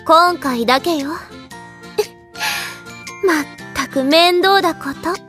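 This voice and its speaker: An actress playing a haughty, cool but secretly soft-hearted young sorceress in a Japanese anime audio drama, curt and aloof. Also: female voice, very high voice, expressive, extremely slow, soft background music, exaggerated voice.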